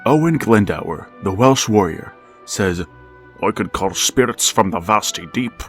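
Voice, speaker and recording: An actor playing a lonely, embittered widowed father who speaks in a measured, formal, brooding way. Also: lowish voice, animated, faint music behind. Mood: grave. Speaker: narrator of the story. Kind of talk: 1940s radio drama narration.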